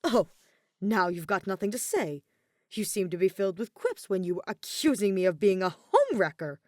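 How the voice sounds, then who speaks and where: A woman voicing a dramatic, indignant young man in a story, bickering in a loud, incredulous, spluttering way. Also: mid-range voice, very animated, moderate pace, no echo, clean studio sound, close to an everyday voice. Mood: sarcastic, exasperated.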